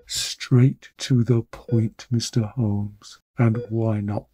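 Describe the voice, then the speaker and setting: A man's voice: fairly low pitch, little pitch movement, drawn-out words, warm tone, husky, no room sound, heavily acted. A man playing a frail, dying duke in an audio drama, courteous, grave and intent on his quest. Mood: courteous, weary.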